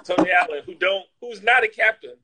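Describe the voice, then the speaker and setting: A man in casual, comedic chat, talking in an animated, slangy, profane joking way. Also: high voice, brisk, breathy edge.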